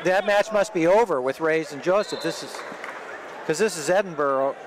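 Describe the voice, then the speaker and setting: A man's voice: on the high side, moderate pace. A man working as a play-by-play announcer, giving rambling, explanatory live wrestling commentary for radio. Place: large indoor sports arena. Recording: loud crowd and gym noise behind. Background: crowd, bouncing and thuds of a tournament.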